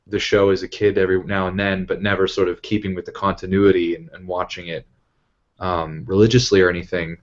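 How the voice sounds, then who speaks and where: Man, very low voice, casual fan chat, panel guest, muffled lo-fi audio. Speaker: cast or crew member on a panel Q and A.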